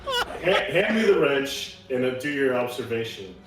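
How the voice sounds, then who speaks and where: A man's voice: mid-range voice, sing-song, normal speed. A man playing a tabletop roleplaying game, talking casually. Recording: very echoey, roomy, music behind.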